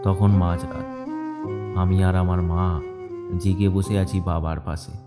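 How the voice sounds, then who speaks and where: Casting: male horror narrator, deep register, suspenseful dramatic storytelling, prominent music bed. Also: little pitch movement, takes it slowly. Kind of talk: scripted first-person horror story narration. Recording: loud music and rain behind. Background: music and rain sound effects.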